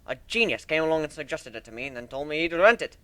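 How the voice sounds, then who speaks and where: A man's voice: on the high side, expressive, fast talker, sharp tone, no room sound, voice pushed up. A man voicing a boastful, hearty minotaur airship builder in a dramatic story reading, with a brash, folksy way of talking. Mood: boastful, explaining. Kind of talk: dramatic fan fiction reading.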